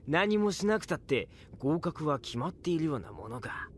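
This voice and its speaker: A snide, animated young squire. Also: male voice, on the high side, unhurried, a little hiss or hum. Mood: sneering. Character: snide, gossipy young male knight candidate. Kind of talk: anime audio drama acting. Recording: loud music behind.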